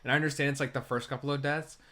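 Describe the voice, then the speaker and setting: A man's voice: medium pitch, steady tone, racing, pushed and bright. A man with a mid-range voice talks as a podcast co-host reviewing films. His delivery is informal and slangy, like loose chat between friends. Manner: informal slangy talk, peppered with "like" and "dude". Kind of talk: casual film review chat.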